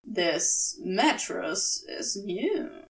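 A woman voicing a laconic male demon who questions in a low, slow drawl. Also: very animated, gravelly, distant mic, heavily acted. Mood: probing.

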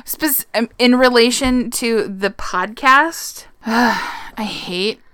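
A young woman hosting a podcast, her voice bright and fairly high, speaking at an unhurried pace. She laughs often and swears casually, keeping things playfully blunt. Her voice sounds dry and close on the microphone. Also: smooth, dry recording. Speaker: podcast host.

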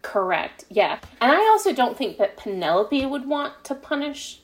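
A woman's voice: sits high, animated, normal speed, rough recording. A woman being interviewed as a guest author, talking casually and explaining things in a tentative, thinking-aloud way. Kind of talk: casual podcast interview chat.

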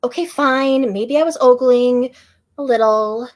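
A woman's voice: a little high, a little slow, a little mellow, close to an everyday voice. A woman reading aloud as a dry-witted young woman who teases. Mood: playful. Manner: dry, teasing.

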